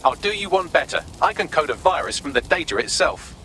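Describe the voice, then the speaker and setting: A dry, sarcastic AI. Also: male voice, a little high, lively intonation, brisk, gravelly, sharp tone, slight background noise, full character voice. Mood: wry. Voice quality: dry, arch wit. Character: dry, sarcastic male AI assistant.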